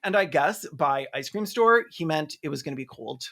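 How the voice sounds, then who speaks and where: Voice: mid-range voice, expressive, normal speed, gravelly, a bit bright. A person giving a sarcastic, sweary comedic commentary on a film. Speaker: video essayist and host.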